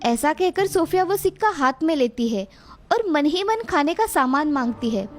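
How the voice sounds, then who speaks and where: A woman narrates a scripted children's moral tale. Her voice is high, with soft music playing behind it. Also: expressive, moderate pace.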